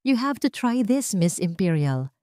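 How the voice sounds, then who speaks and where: A woman's voice: mid-range voice, sing-song, measured pace, darkish tone, no room sound, studio quality. A woman voicing a scheming, falsely sweet rich young woman in an audiobook, with a Filipino accent and a needling manner. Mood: sugary, inviting. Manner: sugary, needling.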